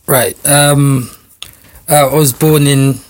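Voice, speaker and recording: A man's voice: mid-range voice, steady tone. Hesitant man in his forties, studio guest. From France and Republic of Congo. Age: 40s. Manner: hesitant, many pauses and fillers. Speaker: radio guest. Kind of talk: casual interview talk. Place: radio studio.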